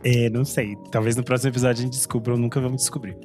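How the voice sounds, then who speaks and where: A man's voice: mid-range voice, flat delivery, a little mellow. A man from Brazil co-hosting a music podcast, playful and laughing as he chats and wraps up. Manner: playful, laughing, drawn-out sign-off. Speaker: podcast co-host. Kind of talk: casual chat.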